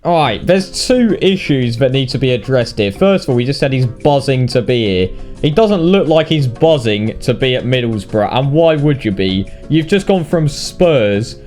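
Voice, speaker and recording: A British man giving casual, sweary, mock-indignant commentary on video game highlights. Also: animated, normal speed, darkish tone, soft background music. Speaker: gaming video narrator.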